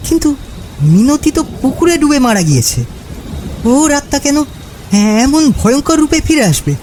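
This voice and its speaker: A man's voice: extremely high pitch, big pitch swings, moderate pace, a little mellow. A man voicing an anxious, inquisitive young village friend in a cartoon ghost story. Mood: uneasy. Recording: clear rain and thunder noise behind.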